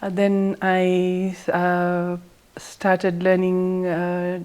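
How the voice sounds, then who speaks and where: A woman's voice: fairly low pitch, monotone, extremely slow, a little mellow, smooth. A middle-aged woman from Sri Lanka who is a teacher and school owner, talking plainly and reflectively about her life, with frequent small hesitations. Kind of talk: interview, recounting her life.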